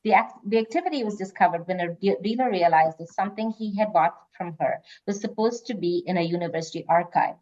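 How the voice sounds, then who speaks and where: A woman with a fairly low voice works as a quiz host. She reads out questions and moderates informally, over slightly dull, lo-fi call audio. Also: fast, hardly any pauses, a little mellow.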